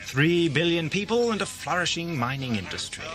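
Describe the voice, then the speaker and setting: A man playing a guarded, sardonic time-travelling adventurer in an audio drama, speaking in a dry, wry, measured manner. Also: on the high side, sing-song, music in the background. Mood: musing, informative.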